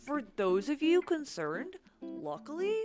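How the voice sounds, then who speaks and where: A woman as a lilting, unhurried pop band member. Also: sits high, sing-song. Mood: reassuring, composed. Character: band member giving fans a reassuring update. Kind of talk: scripted public announcement to fans. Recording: guitar music under the voice. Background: plucked guitar music.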